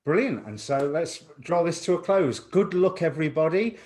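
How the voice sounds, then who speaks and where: A man's voice: on the high side, animated, normal speed, darkish tone. Chatty male interview host. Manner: chatty, reflective, asks long winding questions. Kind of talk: conversational interview.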